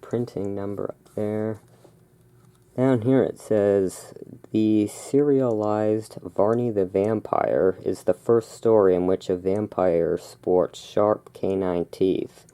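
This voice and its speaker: A host giving a relaxed ASMR read-aloud, drifting into casual, musing asides. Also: low-pitched, takes it slowly, clear, resonant tone. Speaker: host reading and commenting on a magazine.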